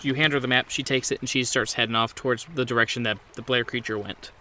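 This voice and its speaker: Male game master, medium pitch, conversational improvised storytelling, noticeable engine noise behind. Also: fairly even intonation, fast talker, pushed and bright. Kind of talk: improvised tabletop horror game narration. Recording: clear boat engine ambience behind.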